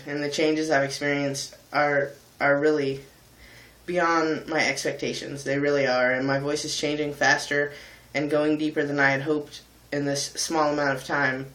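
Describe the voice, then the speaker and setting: A man's voice: on the high side, a bit bright. Candid man, light voice, echoey room. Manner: candid, thoughtful, many ums and pauses. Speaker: vlogger and singer. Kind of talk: casual reflective vlog talk.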